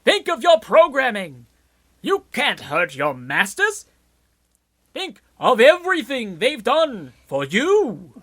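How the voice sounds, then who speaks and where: A performer playing an earnest, formal angel conscience in a robot's head in a comedy radio play. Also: female voice, a little high, wildly swooping pitch, takes it slowly, a bit bright, dry recording, clean studio sound, full character voice.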